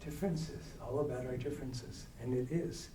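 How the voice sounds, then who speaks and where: A man's voice: medium pitch, moderate pace, mellow, slight background noise. Man giving a talk in an echoey hall. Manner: conversational, asks the audience questions. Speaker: guest speaker. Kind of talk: adult education talk. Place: church hall. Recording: echoey hall, distant mic.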